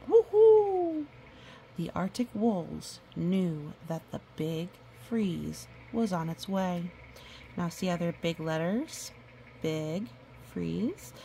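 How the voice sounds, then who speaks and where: A woman reading a picture book aloud to a young child, animated and repeating words for emphasis, with explanatory asides. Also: fairly low pitch, creaky, a little hiss or hum, low-quality recording.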